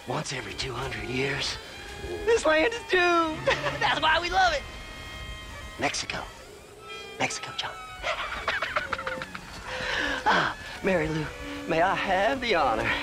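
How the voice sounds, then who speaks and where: A man's voice: sits high, wildly swooping pitch, slow, long pauses, music in the background, exaggerated voice, rough recording. A man playing a deranged, giggling treasure hunter with an erratic, mocking delivery in a video game cutscene. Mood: crazed, gleeful. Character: deranged, giggling treasure hunter gone mad among corpses.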